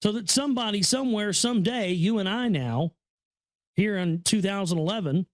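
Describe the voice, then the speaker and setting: A man's voice: high voice, normal speed, no room sound, studio quality. A man giving a casual running commentary on a book he is reading aloud, rambling and stammering, repeating phrases with earnest awe. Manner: awed, earnest. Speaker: reader and commentator.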